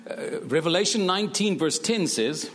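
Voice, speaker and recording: A man preaches in short, measured phrases, leaving room for an interpreter. His pitch moves a lot, and the church hall around him gives a long echo. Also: expressive, fairly bright tone. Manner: speaks in short phrases, broken German asides. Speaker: guest preacher.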